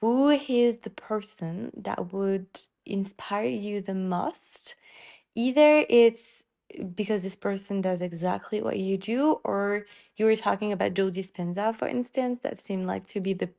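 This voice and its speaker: Mellow-voiced woman asking loose questions. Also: mid-range voice, measured pace, creaky. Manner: loose phrasing, many "like" fillers. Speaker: podcast host. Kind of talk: casual interview questions.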